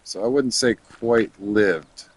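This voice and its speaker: A man's voice: lowish voice, slow, slightly rough. A man in his thirties chatting casually to his viewers while he plays a game, rambling from topic to topic and muttering to himself as he works through menus. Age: 30s. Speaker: game streamer.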